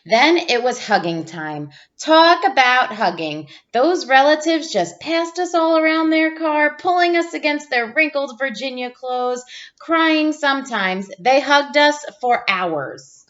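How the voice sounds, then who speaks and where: A woman's voice: sits high, expressive, takes it slowly, fairly bright tone, lo-fi sound. A woman teacher reading a storybook aloud to pupils, expressively, drawing out phrases for emphasis.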